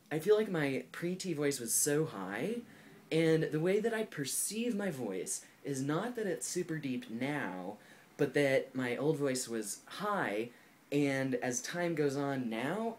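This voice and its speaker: A man's voice: medium pitch, a little hiss or hum. Young man, 20s, vlogger. Casual, thoughtful to-camera talk full of fillers, mid-range voice. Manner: thoughtful and candid, heavy use of "like" and "um". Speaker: video blogger. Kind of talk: casual personal vlog talk.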